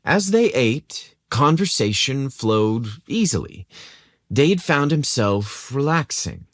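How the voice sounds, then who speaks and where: A man narrating scripted fiction in a descriptive, deliberate storytelling manner with expressive emphasis. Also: medium pitch, no echo, clean studio sound.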